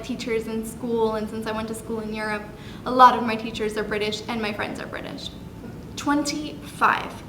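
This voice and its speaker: A woman in her twenties chatting casually on a vlog, bubbly, with lots of fillers and self-corrections. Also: fairly high pitch. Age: 20s. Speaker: vlogger. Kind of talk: casual chat listing facts. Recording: echoey room with background noise.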